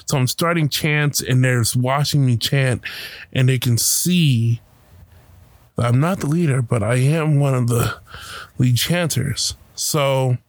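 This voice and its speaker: A man telling a personal story about a protest in a casual, halting way, with many fillers and restarts. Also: medium pitch, no echo. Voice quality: loud voice.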